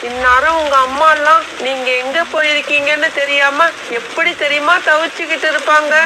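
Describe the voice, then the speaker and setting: A woman voicing an anxious, scolding motherly crow in an animated children's story. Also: high voice, moderate pace, natural voice. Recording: noisy track with loud rain ambience.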